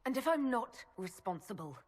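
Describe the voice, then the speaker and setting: Young elf prisoner, female, highish voice, shaken, hesitant, questioning, game dialogue in long reverb. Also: very animated, moderate pace, a little mellow. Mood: wary, questioning. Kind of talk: dramatic video game acting. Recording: long hall reverb, music behind.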